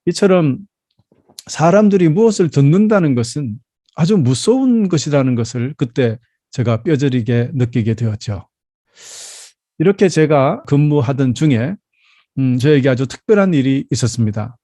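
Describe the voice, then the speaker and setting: A man in his fifties from South Korea preaching a Sunday sermon as a pastor, telling personal stories in a measured, explanatory way. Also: medium pitch, expressive, mellow, no room sound. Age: 50s.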